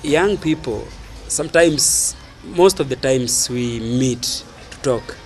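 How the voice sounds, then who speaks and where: A young man with a Kenyan accent answering an interview casually, in short halting phrases. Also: medium pitch, animated, a little mellow, faint music behind. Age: young adult. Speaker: youth workshop participant being interviewed. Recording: faint outdoor urban noise.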